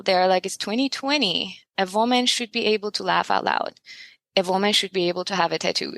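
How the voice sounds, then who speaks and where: A young adult woman from Iran, a podcast guest talking in casual interview chat, animated and emphatic, laughing often and asking pointed rhetorical questions. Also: medium pitch, dry recording.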